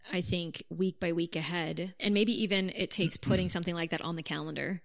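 A woman's voice: fairly low pitch, steady tone, a little fast, fairly bright tone. A woman co-hosting a casual couple's podcast, earnest and practical, with light teasing and the odd casual swear. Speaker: podcast co-host.

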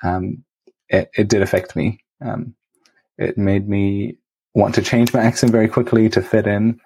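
A young man chats as a guest on a podcast, his voice lowish and mellow with a slightly husky edge. He talks in a measured, reflective way and slips in soft laughs. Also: level tone, rough recording. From India. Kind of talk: casual chat.

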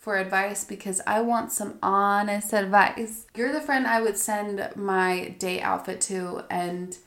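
A woman talking directly to her viewers in a gentle, soft voice that often drops near a whisper, sounding a little stuffy from allergies. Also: mid-range voice, fairly even intonation, a little slow, echoey room. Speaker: astrology vlogger. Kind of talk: reflective spoken monologue to viewers.

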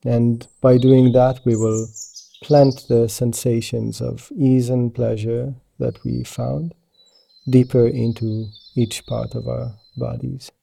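A man leading a guided meditation as a teacher, speaking in a gentle, soothing, unhurried manner. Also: mid-range voice, level tone, creaky, husky. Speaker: meditation teacher guiding yoga nidra.

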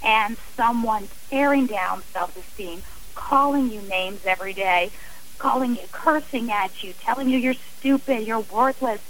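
A woman with a fairly high voice talks as a guest on a radio interview. She is earnest and emphatic, and she often repeats phrases to drive a point home. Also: a little slow, fairly bright tone, low-quality recording.

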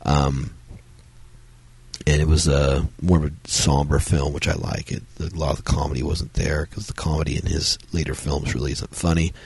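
Podcast host, man, husky and strained from a cold, dry close sound, loose rambling delivery. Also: little pitch movement, normal speed, darkish tone, dry recording. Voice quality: very deep, gravelly. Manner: rambling, many fillers and restarts. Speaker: podcast host reviewing films. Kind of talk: solo casual review talk.

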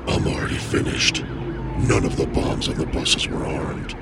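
An actor playing a grim male vigilante who speaks flat and cold. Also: a bass voice, unhurried, very noisy.

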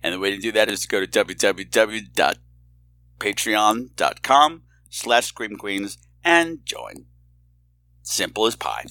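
Low, bright-voiced man with animated swings. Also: a little slow. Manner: informal, scattered, theatrical flourishes. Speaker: podcast host. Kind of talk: casual, rambling show introduction.

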